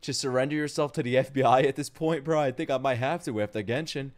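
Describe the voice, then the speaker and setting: A man doing casual live-stream reaction commentary to game trailers, excitable, slangy and profane. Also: medium pitch, fast talker. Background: game trailer music.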